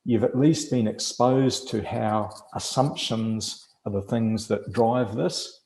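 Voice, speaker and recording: A New Zealand man leads an online workshop in a low, dark, gravelly voice, taking his time over each point. He explains patiently with plenty of ums. The call sounds echoey, as if from a bare room. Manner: measured, explanatory, with frequent fillers. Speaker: webinar presenter. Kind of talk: teaching a webinar. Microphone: video call.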